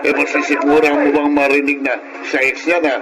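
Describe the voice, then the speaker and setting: A man's voice: a little high, little pitch movement, fairly quick, music in the background, natural voice. A male actor playing a cocky, chauvinistic young drinking buddy in a radio drama, with teasing, opinionated banter. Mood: smug, opinionated. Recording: noisy radio drama audio.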